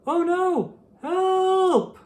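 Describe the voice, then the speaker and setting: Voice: extremely high pitch, expressive, drawn-out words, a little mellow, voice pushed up, full character voice. A storyteller voicing a big, strong, boastful male fish in a picture book, with a showy, puffed-up manner. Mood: panicked.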